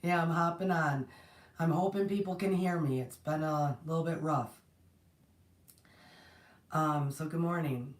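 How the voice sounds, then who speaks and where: A woman's voice: low voice, measured pace, pauses often. A woman hosting a spiritual livestream, talking casually with a strained, partly lost voice, greeting viewers between rambling thoughts. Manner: rambling, warm greetings to viewers, many restarts. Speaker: host of a spiritual platform. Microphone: distant mic, no proper setup. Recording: echoey room, voice from far off.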